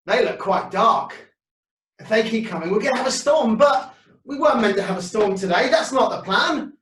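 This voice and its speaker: Man voicing a frantic, shouting boatman, shrill and distant. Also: expressive, normal speed. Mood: worried. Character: panicky male fisherman friend on a boat. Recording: echoey room, distant from mic.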